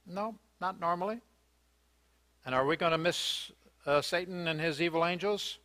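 A man's voice: sits high, moderate pace, bright, forceful tone. An older American man preaching a sermon in a church, earnest and questioning, with hesitant fillers and restarts. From United States. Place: church sanctuary, indoors.

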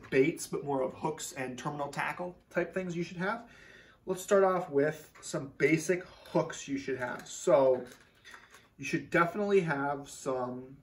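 Young man, casual, lots of ums, slightly distant mic, indoor garage. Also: fairly high pitch. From Minnesota. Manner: relaxed, many fillers, repeats words for emphasis. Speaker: fishing channel host. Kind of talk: casual tackle walkthrough. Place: garage workshop, seated indoors. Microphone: camera a few feet away.

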